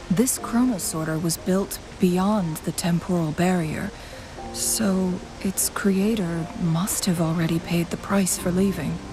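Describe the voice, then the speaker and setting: An actress playing a composed, scholarly young woman adventurer in a video game, speaking in a measured, articulate, formal way. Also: fairly low pitch, poor audio. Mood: puzzled. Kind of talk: video game story dialogue. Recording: game music playing behind.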